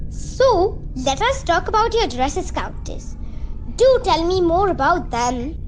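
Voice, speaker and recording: A woman's voice: very high-pitched, very animated, slow, soft background music, full character voice, rough recording. A woman playing a bold, fussy mother with a chatty, insistent manner in an amateur radio play. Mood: polite, curious. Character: Doris, outspoken, fussy, bold village mother.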